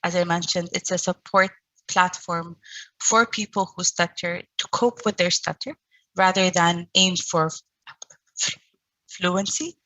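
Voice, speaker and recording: Woman, stutters, middle-aged, very slow pace, slightly low pitch, online talk, dry room sound. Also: fairly even intonation, bright, forceful tone, dry recording, low-quality recording. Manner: stutters, with pauses and repeated sounds. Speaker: founder of a stuttering support group. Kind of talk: online conference presentation.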